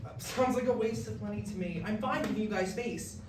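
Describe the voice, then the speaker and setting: A woman's voice: mid-range voice, brisk, close to an everyday voice. A woman playing a weary, evasive male college student in a staged musical reading, casual and hesitant. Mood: casual, low. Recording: very echoey hall, distant mic, noisy.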